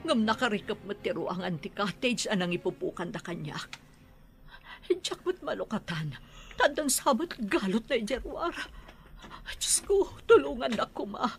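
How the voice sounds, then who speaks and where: A woman's voice: on the high side, very animated, moderate pace. A woman playing a frightened young woman trapped in danger in an audio drama, fearful and pleading. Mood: terrified.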